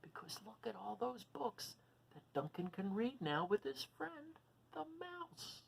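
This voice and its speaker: Man, children's storytime host, lively swinging pitch, expressive, casual, simple explanations. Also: unhurried, creaky, breathy edge. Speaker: children's read-aloud host. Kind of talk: animated storybook reading and casual chat to children.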